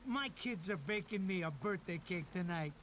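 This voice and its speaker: A man's voice: high-pitched, expressive, moderate pace, a bit bright, slight background noise. An actor playing a squat, nervous, foul-mouthed wiseguy henchman in a video game, wisecracking and whiny. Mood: cocky, griping. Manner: wisecracking, whiny, profane, slangy. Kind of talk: animated video game cutscene acting.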